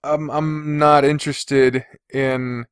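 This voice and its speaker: Male podcast host, slightly high voice, dry close-miked sound, casual and opinionated, swears freely. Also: level tone, takes it slowly, clear, resonant tone, no room sound. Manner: blunt, frequent swearing.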